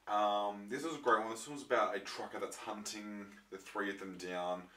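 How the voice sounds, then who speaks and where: A young man with a croaky voice from a throat infection, chatting casually to his viewers with lots of ums and restarts. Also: on the low side, steady tone, normal speed, pushed and bright. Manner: rambling, many ums and restarts. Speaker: vlogger showing his disc collection. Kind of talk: casual chat to camera. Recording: roomy, mic far off, faint noise.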